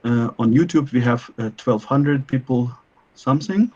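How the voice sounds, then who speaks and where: A man casually hosting a live online meetup, speaking haltingly as he thinks aloud. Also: mid-range voice, dark tone, lo-fi sound. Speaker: host of an online study event.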